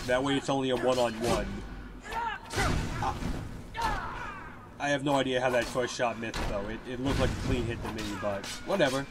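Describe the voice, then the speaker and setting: A man's voice: mid-range voice, background noise. Stuffy-nosed man explaining game tricks. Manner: relaxed, explanatory, tip-giving. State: stuffy from a cold. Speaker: gameplay commentator. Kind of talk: game walkthrough voice-over.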